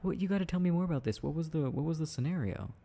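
A young man doing an intimate, casual boyfriend roleplay, playful and affectionate, talking in a halting, giggly way. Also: a little high, lively intonation, vocal fry, warm tone. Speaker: boyfriend roleplay audio performer.

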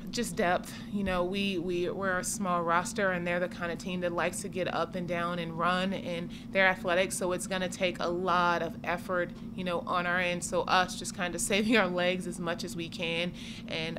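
A woman in her forties, a head coach, answering postgame questions in a measured, steady way at a table mic in a press room. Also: fairly low pitch. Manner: measured, steady, many "I mean" and "you know". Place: press conference room with sponsor backdrop. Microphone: table mic in front of her. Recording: noisy room ambience.

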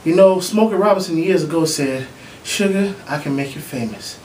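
A man's voice: a little high, normal speed, a little mellow, close to an everyday voice. A man playing a weary old soul-group leader. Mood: rueful. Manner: rueful, put-upon bragging. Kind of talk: comedy sketch acting. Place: church building indoors. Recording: echoey room with faint noise.

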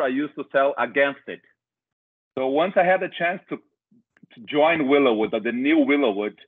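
A middle-aged man from Brazil with a Brazilian accent, presenting a webinar over a video call with hesitant restarts and filler uhs. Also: medium pitch. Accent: Brazilian Portuguese. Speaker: company director presenting a webinar. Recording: tinny phone-like video call audio.

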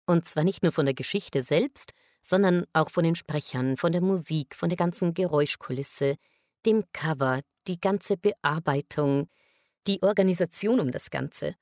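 A woman's voice: low voice, moderate pace, a little mellow, no echo, studio quality. A woman speaking a congratulatory message as if addressing a colleague directly.

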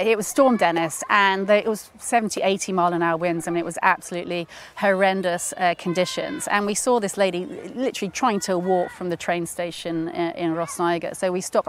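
Middle-aged woman MP, earnest and halting, seated outdoors for a podcast interview. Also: medium pitch, slight background noise. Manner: earnest, with frequent fillers and restarts. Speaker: member of parliament. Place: outdoors at an agricultural show.

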